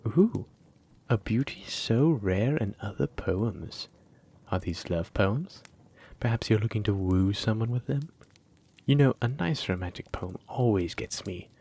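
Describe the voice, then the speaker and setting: A man's voice: on the low side, lively intonation, a little mellow, full character voice. A man playing a flirty, teasing dragon-blooded librarian in a roleplay audio drama, coy and persistent, lingering on his phrases. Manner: coy, teasing, drawn-out phrases with breathy asides.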